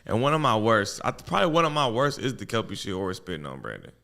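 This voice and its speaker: A man's voice: fairly low pitch, wildly swooping pitch, quick pace, vocal fry, bright, forceful tone. A young man talking bluntly and emphatically as a guest on a casual podcast, swearing freely.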